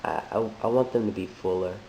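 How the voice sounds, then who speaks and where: A man who is sick, talking in a halting, thoughtful way with many restarts, in a reflective personal monologue. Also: low-pitched, fairly even intonation, creaky, warm tone. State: sick, voice deeper than usual. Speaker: vlogger sharing a transition update. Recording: echoey room with faint hiss.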